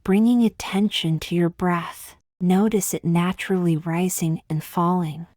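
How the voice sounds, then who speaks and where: A woman leading a guided meditation in a calm, gently measured, scripted style with long pauses between phrases. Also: lowish voice, dry recording, studio quality.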